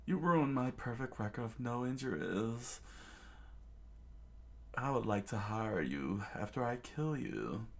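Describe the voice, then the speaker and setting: A man's voice: medium pitch, very animated, slow pace, creaky, pitched above the performer's own voice, heavily acted. A man doing a pompous boastful pirate brute. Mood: pompous menace. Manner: pompous, self-important.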